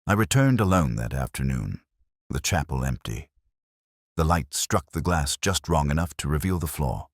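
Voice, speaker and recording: A man narrating a detective story in the first person, in a measured, brooding and somber way. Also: rumbling low, no room sound, studio quality.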